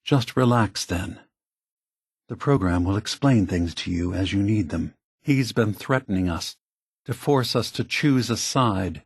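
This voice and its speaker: An actor playing a calm, burdened male android leader in a video game, speaking in a measured, deliberate, formal way. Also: on the low side, husky, exaggerated voice. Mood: calm, grave. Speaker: game character guiding the player. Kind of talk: scripted video game voice acting.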